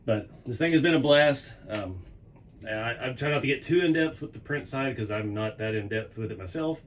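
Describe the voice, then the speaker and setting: A hoarse man at a middle pitch talks through his hobby work in a relaxed, rambling way, chuckling now and then. He is in his home workshop, with a faint hiss of ambient noise behind him. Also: moderate pace. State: losing his voice. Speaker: hobby channel host. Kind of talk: casual workshop vlog.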